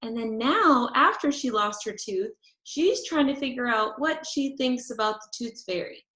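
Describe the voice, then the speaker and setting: A woman's voice: medium pitch, fairly bright tone. A woman speaking simply and instructively to young listeners, like a teacher introducing a children's read-aloud. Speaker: teacher reading aloud to pupils. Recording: roomy echo.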